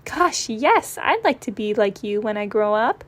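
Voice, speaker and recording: A woman's voice: medium pitch, big pitch swings, a little slow. A woman voicing a cute, vain young male cartoon kitten in a chirpy, self-satisfied way. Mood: perky, vain.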